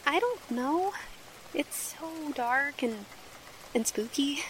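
A timid teenage girl, played by a woman in a 1940s radio horror drama. Her voice is high and slow, halting with fear. Rain sounds faintly behind her. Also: lively intonation. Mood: uneasy. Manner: hesitant, halting, fearful. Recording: noisy recording with rain.

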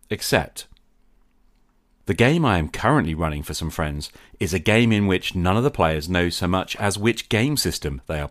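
A man hosting a podcast, giving a reflective monologue in measured, emphatic phrases with deliberate pauses. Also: deep voice, dry recording, studio quality. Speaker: podcast host.

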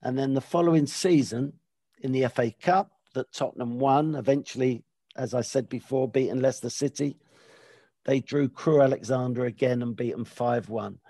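A man hosting a podcast with a gravelly, mellow voice. He talks in a loose, rambling way, full of hesitations and restarts. The sound is dry and close to the mic. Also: mid-range voice, normal speed, no echo. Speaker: podcast host. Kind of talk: casual chat.

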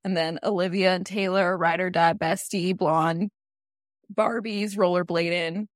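A young woman co-hosting a podcast, gossiping in a rambling, animated way full of "like"s. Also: on the low side, measured pace, no room sound, studio quality. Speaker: podcast co-host. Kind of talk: casual chat.

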